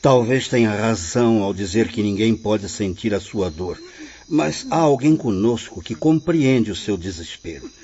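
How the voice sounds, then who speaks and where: A man playing a calm, benevolent spirit guide in a radio drama, speaking in a solemn, formal, instructive way. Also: lowish voice, unhurried. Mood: solemn, encouraging. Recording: muffled, lightly noisy radio play audio.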